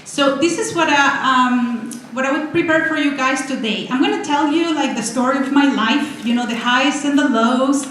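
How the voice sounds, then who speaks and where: A woman in her forties from Venezuela, giving an energetic, chatty talk with slides as a guest speaker at a college. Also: sits high, normal speed, hardly any pauses. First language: Spanish. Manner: excited, chatty, many restarts. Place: college lecture room. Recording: echoey hall, faint noise.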